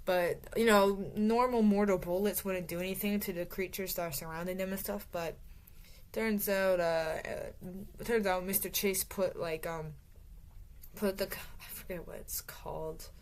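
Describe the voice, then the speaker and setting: A woman's voice: mid-range voice, steady tone, normal speed. A woman casually recapping a novel for her book review channel, rambling with lots of fillers and restarts, her voice growing tired. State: voice getting tired.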